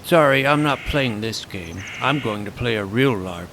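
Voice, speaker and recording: A man's voice: mid-range voice, lively intonation, normal speed, music in the background. A man narrating a comic fantasy adventure in the first person as its self-important young hero.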